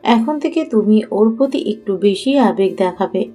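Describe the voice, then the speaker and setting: A scheming young woman played by a woman in an audio story, instructing with assurance. Her voice is soft-edged and dark, and she is bossy and persuasive, with music playing faintly behind. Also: medium pitch, animated, unhurried. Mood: sly coaching. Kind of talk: Bengali audio story acting.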